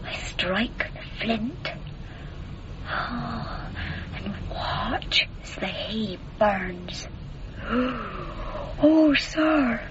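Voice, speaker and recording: Female, jilted period servant in radio drama: entranced, menacing, extremely slow delivery, breathy near-whisper, muffled noisy recording. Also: on the high side. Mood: vengeful, entranced. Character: spurned, vengeful young servant woman in trance. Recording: dull radio recording, background noise.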